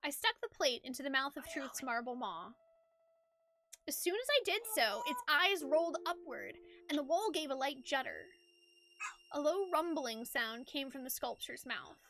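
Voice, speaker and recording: A woman's voice: sits high, sing-song, moderate pace. A woman streamer reading a game's story aloud expressively and chatting casually about her playthrough. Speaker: streamer, narrator of a game playthrough. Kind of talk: expressive storybook-like game narration with casual chat. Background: loud game music.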